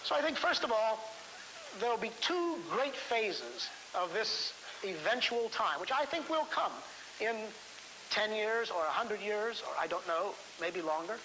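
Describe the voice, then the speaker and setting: A man speaking as a scientist in unscripted, lecture-like talk, halting and deliberate, repeating phrases for emphasis. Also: sits high, big pitch swings, bright, forceful tone, low-quality recording. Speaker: scientist interviewee. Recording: hissy vintage 1970s film soundtrack.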